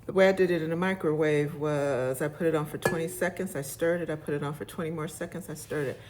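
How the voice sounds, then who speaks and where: A woman with a loud voice, hosting a casual home cooking demonstration and chatting homily to her viewers as she works. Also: sits low, steady tone, quick pace, noisy background. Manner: chatty and homey, talks straight to viewers. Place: home kitchen. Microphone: phone, later a clip-on mic.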